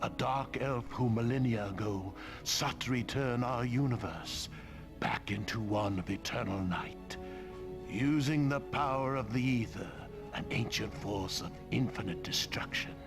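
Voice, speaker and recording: A man playing a vain, scornful trickster prince who narrates theatrically, pompous and sardonic, with dramatic pauses. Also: medium pitch, lightly acted. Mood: grand, ominous. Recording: music behind the voice.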